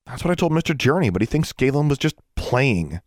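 A man voicing a headstrong schoolgirl witch who is quick to argue, in an audiobook. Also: mid-range voice, expressive, no room sound, studio quality, voice pushed up, close to an everyday voice. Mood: insistent. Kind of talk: audiobook character voice.

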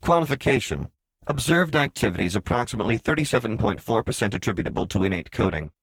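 Man doing a husky, sardonic droid. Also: fairly low pitch, big pitch swings, quick pace, no room sound, pitched above the performer's own voice, heavily acted, poor audio. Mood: analytical. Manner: clipped, formal, robotic announcing. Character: smug, sardonic little astromech droid, read as translated text.

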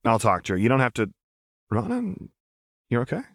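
A man's voice: fairly low pitch, racing, a bit bright, dry recording, clean studio sound, close to an everyday voice. A man voicing a nervous, apologetic, loyal man in an audiobook, hesitant and earnest. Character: nervous, apologetic, put-upon ordinary man, loyal friend. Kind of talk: audiobook character voice.

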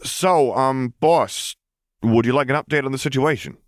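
A man's voice: medium pitch, normal speed, dry recording, studio quality, pitched above the performer's own voice, close to an everyday voice. A man voicing a chatty, deferential vampire henchman in an audiobook story, reporting eagerly and informally to his boss.

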